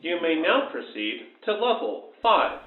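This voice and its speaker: An actor playing an impersonal facility announcement system in a radio play, giving formal instructions. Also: male voice, high voice, big pitch swings, unhurried, exaggerated voice. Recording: dull echoing PA announcement, music behind.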